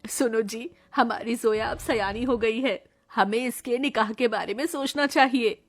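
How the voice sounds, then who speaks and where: A fairly high-pitched village aunt in a cartoon story, acted by a woman. She is caring, devout and worn by poverty, speaking in an expressive, homely way. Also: measured pace, faint music behind. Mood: concerned. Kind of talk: Hindi cartoon story dialogue.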